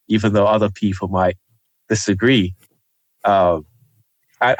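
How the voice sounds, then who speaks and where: A young man from Nigeria, a journalist guest, talking reflectively in an interview with frequent pauses and ums. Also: on the low side, dry recording. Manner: hesitant, many ums, soft-spoken.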